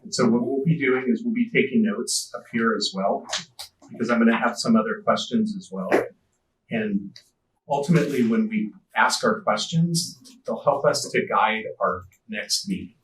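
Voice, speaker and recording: Soft-spoken man leading a meeting, echoey room. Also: medium pitch, recorded from a distance, a little hiss or hum. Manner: soft-spoken, measured, gently directive. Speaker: meeting facilitator. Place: school district meeting room.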